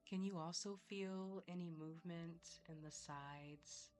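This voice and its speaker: Female meditation guide, low register, very unhurried and soothing, soft music underneath. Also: fairly even intonation. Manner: soothing, measured, with long pauses. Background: soft background music.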